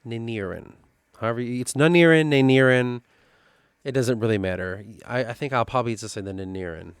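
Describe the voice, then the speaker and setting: A man with a Midwestern accent reading through his own fantasy lore notes and thinking aloud, halting and restarting as he goes. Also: medium pitch, clean tone, no echo, clean studio sound. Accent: Midwestern American. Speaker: worldbuilding writer.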